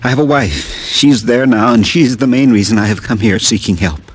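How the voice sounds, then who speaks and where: An actor playing a sick, tired farmer from the West Indies in a 1950s radio drama, talking quietly and haltingly. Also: male voice, on the low side, husky. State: ill, weak. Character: sick weary Haitian farmer, middle-aged man.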